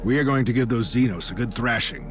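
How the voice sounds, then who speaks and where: A man's voice: medium pitch, fast, close to an everyday voice. An actor playing a hearty, boastful male military commissar in a video game. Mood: confident. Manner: hearty, boastful officer bluster. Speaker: lord commissar, military officer. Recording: music clearly behind.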